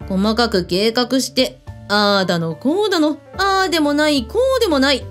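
A woman's voice: high-pitched, moderate pace, faint music behind, natural voice. An actress in a radio drama playing a breezy, blunt female hotel owner who speaks with authority. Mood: casual, grumbling.

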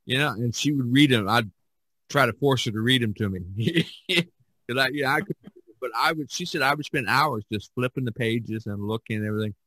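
A man's voice: mid-range voice, a little fast, sharp tone, no room sound, poor audio. An older man hosting a podcast in a casual, rambling, anecdotal way, often repeating his phrases. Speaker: podcast host.

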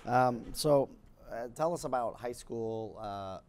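A man's voice: mid-range voice, slow pace, darkish tone. Scratchy-voiced man hosting a stage interview. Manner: chatty, hesitant, many ums. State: slightly scratchy voice. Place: conference stage with curtain backdrop. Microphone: lapel mic.

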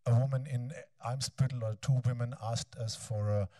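A man in his fifties with a German accent gives a lecture in a large bright hall on a handheld mic, hesitating often with ums and restarts. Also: mid-range voice, steady tone. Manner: halting, frequent ums and restarts. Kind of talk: academic lecture, then reading a text aloud.

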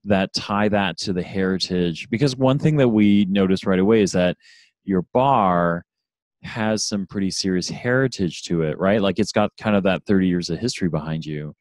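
A man, co-hosting a casual podcast chat over a video call, eager and full of quick yeahs and restarts. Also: sits low, darkish tone, no room sound. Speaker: podcast co-host.

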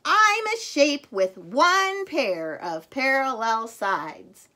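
A woman plays an eager little storybook shape who badly wants to be the star. The voice is high and bright, showy and insistent, with big swings in pitch. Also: sing-song, drawn-out words, exaggerated voice. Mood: boastful. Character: pushy, boastful, eager trapezoid shape wanting stardom.

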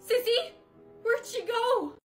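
A woman's voice: very high-pitched, lively intonation, takes it slowly, soft background music, exaggerated voice. A woman acting a bratty, anxious schoolgirl in a melodramatic skit. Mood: worried. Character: bratty, anxious schoolgirl, big sister to Emily.

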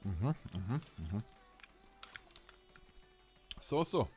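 A man's voice: fairly low pitch, sing-song, drawn-out words, a little mellow, faint music behind. A man chatting casually on a gaming live stream, teasing and bantering playfully in crude, slangy language. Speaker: game streamer.

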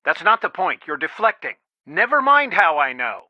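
A man's voice: on the high side, expressive, normal speed, dry recording. An actor playing a worried, protective middle-aged father in a video game, earnest and pleading, heard through a telephone filter. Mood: upset, pleading. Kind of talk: naturalistic video game dialogue, one side of a phone call. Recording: dry, band-limited phone-like sound.

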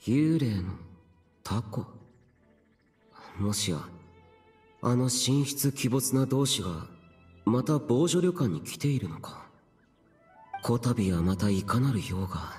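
An actor playing a stern, reserved, youthful immortal warrior in an anime game, with terse, archaic and formal speech. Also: male voice, a little high, lively intonation, a little slow, pauses often, husky, faint music behind. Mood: brooding, aloof.

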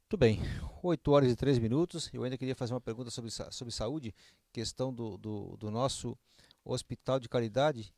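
Middle aged guy interviewing, medium pitch, halting phrasing, dry close sound. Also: vocal fry, darkish tone, dry recording. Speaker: interviewer, host. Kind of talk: local political interview. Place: small indoor studio. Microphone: handheld microphone.

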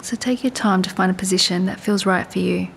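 A woman leads a guided body scan meditation in a calm, soothing, unhurried way, leaving long pauses. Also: vocal fry. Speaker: meditation and yoga teacher. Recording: faint low rumbling background noise.

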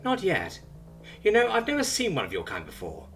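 A man voicing a defiant, sarcastic young woman held captive, raising his pitch and speaking quickly. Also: big pitch swings, sharp tone, soft background music. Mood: cocky.

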